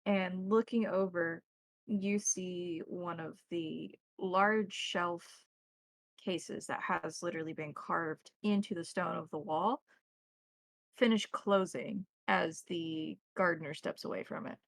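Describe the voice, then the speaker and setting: A woman game master narrating scenes descriptively and deliberately in a tabletop roleplaying game. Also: lowish voice, fairly even intonation, gravelly, no room sound, poor audio.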